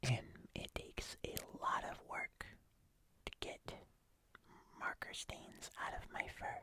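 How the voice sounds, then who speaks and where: A woman voicing a gentle, nervous fuzzy monster in a breathy, hesitant ASMR whisper. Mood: careful, mildly nervous. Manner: slow, soft, hesitant, with small pauses. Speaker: ASMR host.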